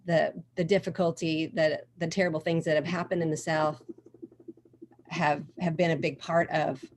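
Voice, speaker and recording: A woman from Oklahoma with a fairly low voice, speaking thoughtfully over a computer mic on a video call. She restarts her sentences, drops in "um" fillers, and at times reads a passage aloud in a steadier tone. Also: flat delivery, normal speed, darkish tone, slight background noise. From Oklahoma, USA. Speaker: reader taking part in a book discussion. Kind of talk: conversational discussion with a passage read aloud.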